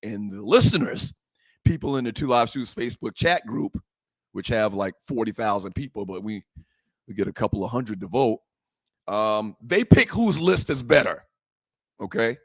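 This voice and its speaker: A man hosting a sports talk show, fervent and emphatic, repeating phrases and rising to shouts, his voice a little hoarse. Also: fairly low pitch, expressive, moderate pace, dry recording, low-quality recording. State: slightly hoarse, voice worn from shouting. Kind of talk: impassioned sports talk monologue.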